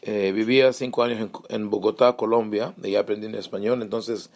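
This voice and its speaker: A man's voice: lowish voice, steady tone, fairly quick, darkish tone. A man from Israel with an Israeli accent, a rabbi teaching an online lesson with many fillers and restarts. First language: Hebrew.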